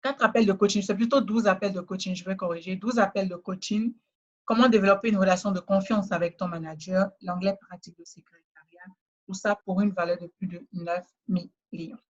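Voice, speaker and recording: A woman trainer giving a persuasive, repetitive sales pitch in a webinar, speaking straight to the listener, with a West African French accent. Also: fairly even intonation, a little fast, dull audio. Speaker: trainer selling a course.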